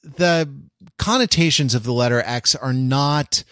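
A man co-hosting a casual podcast chat, musing haltingly and circling back over his phrases. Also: mid-range voice, fairly bright tone, no room sound, studio quality. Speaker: podcast co-host.

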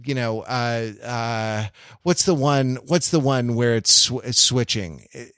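A man hosting a podcast in casual analytical discussion, halting and repeating phrases as he thinks. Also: mid-range voice, a bit bright, clean tone, no echo, studio quality. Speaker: podcast host.

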